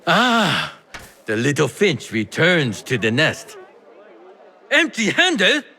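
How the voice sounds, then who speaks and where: An actor playing a sly, theatrical merchant and smuggler with a teasing, playful manner in a video game. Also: male voice, fairly high pitch, wildly swooping pitch, slow, pushed and bright, exaggerated voice. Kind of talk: video game cutscene acting. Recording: music behind the voice.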